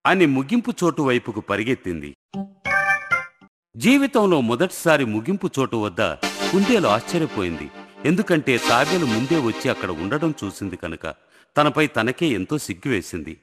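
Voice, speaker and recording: A man's voice: mid-range voice, big pitch swings, moderate pace. A man narrating a scripted moral story for children as the storyteller. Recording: long hall reverb, faint music.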